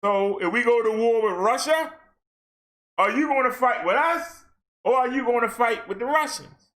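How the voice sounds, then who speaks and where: A man rants on camera in a casual, colloquial way, pelting listeners with rhetorical questions. His voice sits high for a man and rings bright and forceful. Now and then he breaks into a shout. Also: expressive, moderate pace. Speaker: vlogger. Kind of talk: ranting social commentary.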